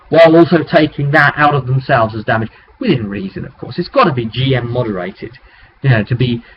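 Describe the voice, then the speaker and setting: A man talking casually as a game master, recapping a tabletop roleplay session in a rambling, animated storytelling way. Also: mid-range voice. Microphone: webcam microphone. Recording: dull webcam audio with clear background noise.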